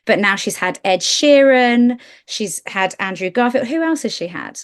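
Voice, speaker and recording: A woman's voice: a little high, lively intonation, moderate pace. Chatty British woman podcast co-host. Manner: informal, peppered with "like" and British slang. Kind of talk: casual conversational chat.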